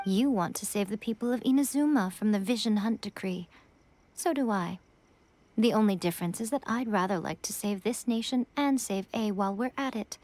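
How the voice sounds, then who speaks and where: An actress playing a poised, knowing female mentor in a video game, speaking in a measured, slightly haughty way. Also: mid-range voice, a little mellow, close to an everyday voice. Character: poised, sly, commanding older-souled female mentor, cool and confident. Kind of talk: dramatic video game voice acting. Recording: game music behind the voice.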